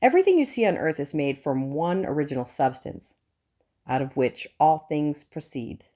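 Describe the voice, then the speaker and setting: A woman narrating a nonfiction audiobook in a measured, earnest and formal way. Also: low voice, lively intonation, warm tone. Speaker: audiobook reader.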